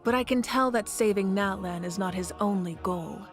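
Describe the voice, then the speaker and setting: A woman voicing a confident, calm young female leader in an anime fantasy game, composed and assured, with a wry warmth. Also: mid-range voice, moderate pace, faint music behind, close to an everyday voice.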